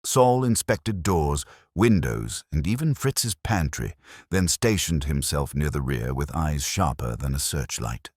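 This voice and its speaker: A man's voice: a bass voice, expressive, unhurried, darkish tone, no echo, studio quality. A man reading a scripted first-person detective story in a hard-boiled narrating style.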